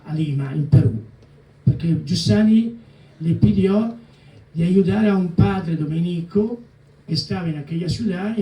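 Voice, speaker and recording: An older man with an Italian accent talks at a book presentation, hesitating often and slipping into Italian words. His voice is gravelly and mellow. He sounds distant, in a roomy hall. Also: high voice. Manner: halting, many fillers and restarts, mixing Italian words. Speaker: co-author guest. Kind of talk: public book presentation talk. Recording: echoey hall, mic at a distance.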